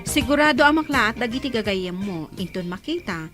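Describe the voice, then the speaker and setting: A woman's voice: fairly high pitch, lively intonation, a little fast, over music, close to an everyday voice. A woman with an Ilocano accent playing a caring older sister in a radio comedy-drama.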